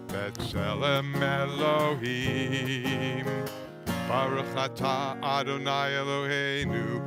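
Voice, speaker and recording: A man leading a worship service, singing slow repeated lines and then talking casually to the congregation in a synagogue sanctuary. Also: medium pitch. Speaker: service leader. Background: guitar music.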